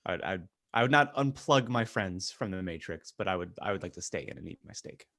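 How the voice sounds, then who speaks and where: A man's voice: deep voice, lively intonation, fairly quick, gravelly, fairly bright tone. A man co-hosting a casual panel podcast in an informal, joking manner. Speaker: podcast co-host.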